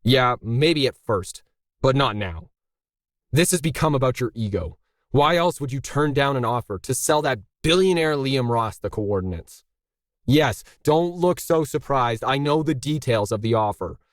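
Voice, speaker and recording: A man's voice: medium pitch, lively intonation, measured pace, dry recording, clean studio sound, natural voice. A man voicing a bitter middle-aged male artist in a story, indignant, pressing and sarcastic. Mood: bitter, aggrieved.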